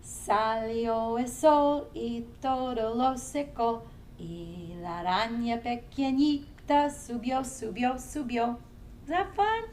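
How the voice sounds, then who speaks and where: A woman children's librarian leading a family storytime, singing nursery songs in English and simple Spanish and gently encouraging young children to join in. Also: on the high side, takes it slowly, a little hiss or hum. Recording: somewhat distant from the mic, faint music.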